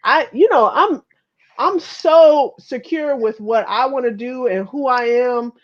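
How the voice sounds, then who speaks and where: Higher-voiced American woman in her thirties. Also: measured pace. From Chicago, United States. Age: 30s. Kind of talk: casual chat, personal reflection. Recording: dull lo-fi audio.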